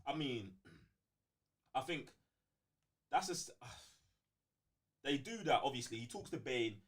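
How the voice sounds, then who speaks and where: A man's voice: mid-range voice, animated, slow pace, fairly bright tone. A man with a London accent in casual fan debate as a co-host, slangy and emphatic, repeating phrases for effect. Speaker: co-host of a fan talk show.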